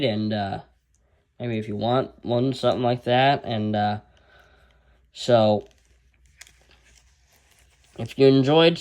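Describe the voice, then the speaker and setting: A man's voice: medium pitch, darkish tone. A man casually reviewing a handheld radio, rambling with lots of fillers and restarts.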